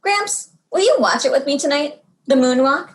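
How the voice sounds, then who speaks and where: Storybook young girl, space-struck and eager, higher register, emphatic delivery played by a woman. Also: expressive, normal speed.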